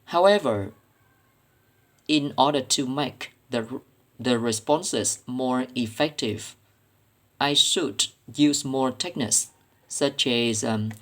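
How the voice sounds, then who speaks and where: A male student with a Vietnamese accent, speaking as a native Vietnamese speaker, delivers a prepared reflection. His pace is markedly slow and his pitch sits in the middle. He sounds careful and earnest, picking through his phrases haltingly. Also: a bit bright. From Vietnam, Ho Chi Minh City. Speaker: student presenting coursework.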